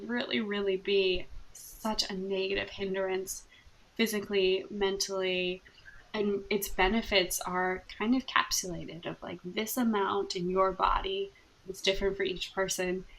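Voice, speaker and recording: Soft-voiced canadian therapist lady, kinda gravelly, laughs a lot, podcast guest. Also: medium pitch, poor audio. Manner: soft-spoken, thoughtful, laughs often. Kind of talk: podcast interview conversation.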